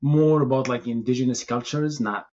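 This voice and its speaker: A Moroccan-born man whose first language is Tamazight, answering interview questions in a thoughtful, halting way, often restarting his phrases. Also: medium pitch, a little mellow, dull audio. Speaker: city information security chief, guest.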